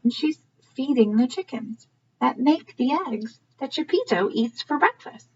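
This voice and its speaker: A woman's voice: sits high, expressive, unhurried, slightly rough, highs cut off. A woman hosting a storybook read-aloud for young children, asking questions and explaining as she goes. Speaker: early learning read-aloud host.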